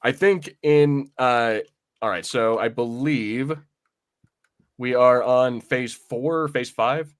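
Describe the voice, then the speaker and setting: A man with a mid-range voice talks casually as a podcast co-host. His delivery is halting and rambling, with phrases repeated while he works out the thought. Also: mid-range voice, clean tone. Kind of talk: casual film and comics discussion.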